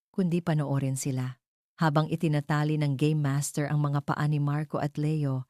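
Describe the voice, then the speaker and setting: A woman with a low, mellow voice tells a romantic drama from a script. Her phrasing is measured and sentimental. The recording is very dry, with no room sound. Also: no room sound. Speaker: story narrator.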